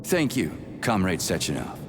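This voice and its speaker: A man voicing a gruff, sarcastic, hot-tempered army major in a video game, with a blunt, sardonic way of talking. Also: sits low, sing-song, moderate pace. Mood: dry, relieved. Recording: long reverb, music behind.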